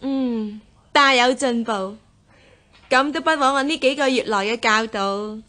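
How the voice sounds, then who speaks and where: A woman's voice: a little high, a little slow, bright, forceful tone. An actress playing a confident, well-born young woman in a historical radio drama, self-assured, with a Hong Kong Cantonese accent. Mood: assured, instructive. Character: confident young gentlewoman, martial-minded daughter of official's household. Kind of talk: radio drama acting in historical costume piece.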